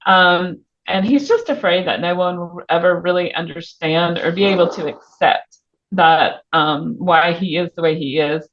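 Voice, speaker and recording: A woman with a somewhat low-set voice talks as a guest author in an informal interview. She feels her way through answers in a hesitant, conversational manner. The audio is a bit muffled, lacking top end. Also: steady tone, measured pace, slight background noise. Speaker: author guest on a book podcast. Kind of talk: casual interview chat. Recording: muffled, band-limited audio.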